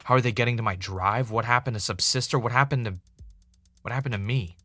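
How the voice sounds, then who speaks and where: A man acting as a wry, uneasy podcast host in an audio drama, with a casual, halting, conversational delivery. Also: mid-range voice, pushed and bright, dry recording, faint music behind. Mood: uneasy, searching. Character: Ray, wry, uneasy male podcaster investigating his own death.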